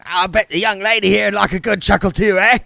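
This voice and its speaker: A man's voice: high voice, fairly even intonation, brisk, creaky, pushed and bright, dry recording, voice pushed up, heavily acted. A man voicing a cheerful older male joker who talks in a jolly, chatty way.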